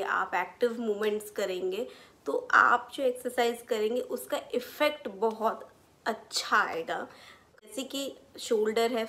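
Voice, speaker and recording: A woman's voice: mid-range voice, a bit bright. A woman in her thirties with an Indian accent, giving an informal explanatory health talk and mixing in English medical terms. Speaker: physiotherapist presenting health advice. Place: small furnished living room, indoors.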